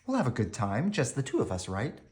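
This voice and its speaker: Man as young storybook princess, eager, adventurous, earnest, lively swooping intonation. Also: medium pitch, fairly quick. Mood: cheerful, inviting.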